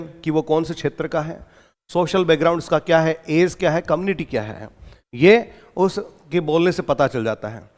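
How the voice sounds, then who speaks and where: A man with an Indian accent giving an exam-coaching lecture, reading English lines slowly and explaining them in Hindi with teasing asides. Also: high-pitched, in a large hall.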